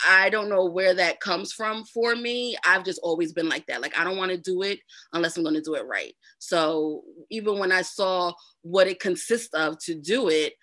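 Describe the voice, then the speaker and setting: Woman, New Jersey accent, low-set bright voice, dry close sound; chatty narrator guest. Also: normal speed, few pauses, no echo. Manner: chatty, uses lots of fillers and repeats. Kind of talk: casual interview chat.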